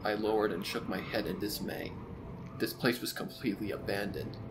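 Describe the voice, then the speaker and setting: A man voicing a grieving, weary pony narrator in a dramatic, measured reading of a gothic story. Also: on the low side, steady tone, noisy background, lightly acted. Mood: mournful, bleak. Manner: somber, measured, poetic. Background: music.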